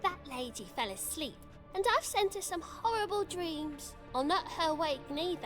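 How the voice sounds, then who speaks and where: Very high-pitched impish little girl godling. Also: animated, moderate pace, over music. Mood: playful, defiant. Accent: British. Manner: childlike, teasing, colloquial. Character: mischievous little girl godling, playful and stubborn. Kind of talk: video game character acting.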